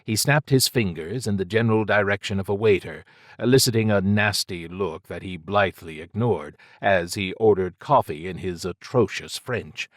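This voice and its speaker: A man narrates an audiobook story in a low voice. The sound is dry and close, and the delivery is scripted prose narration. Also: normal speed, no room sound.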